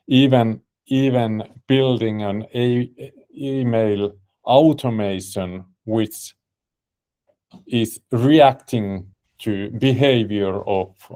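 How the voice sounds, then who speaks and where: A man's voice: on the low side, darkish tone. A man with a Finnish accent, a native Finnish speaker, hosting a business webinar and presenting in English with many fillers and restarts. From Finland. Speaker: webinar host and company CEO.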